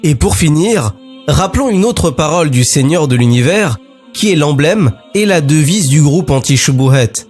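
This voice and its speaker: A man with a somewhat high, husky voice reads a scripted statement over music. He is formal and declamatory, with a polemical edge, like the narrator of an online religious channel. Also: a little mellow.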